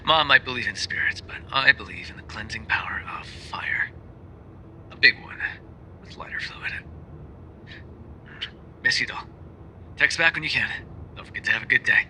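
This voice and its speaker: Man acting a sharp, bright-voiced mafioso boyfriend. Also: mid-range voice, expressive, moderate pace, pauses often, rough recording. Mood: wry, exasperated. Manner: conversational, sardonic, swearing, dropping into whispers. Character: lovesick, jealous, insecure mafioso boyfriend. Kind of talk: ASMR roleplay, intimate voicemail monologue.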